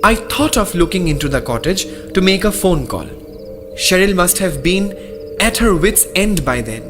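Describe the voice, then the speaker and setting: Animated man acting a stranded traveller. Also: high voice, normal speed. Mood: suspenseful, dramatic. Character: stranded married man recounting an eerie night, anxious, polite. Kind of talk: dramatic first-person radio play narration. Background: prominent music.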